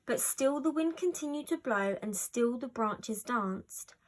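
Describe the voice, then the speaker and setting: A woman narrating a children's story from a script in an expressive storytelling style. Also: on the high side, unhurried. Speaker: storybook reader.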